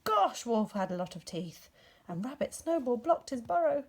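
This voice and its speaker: A woman narrating a story for young children in an expressive storytelling style. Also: a little high, normal speed, slightly rough. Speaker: storybook reader.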